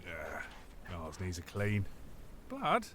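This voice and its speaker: A very animated, cheeky British mechanic. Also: male voice, deep voice, drawn-out words, slight background noise, exaggerated voice. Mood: cheeky, teasing. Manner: chatty, teasing. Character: cheeky island mechanic, matey petty crook giving car tips. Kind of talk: comic audio drama double act.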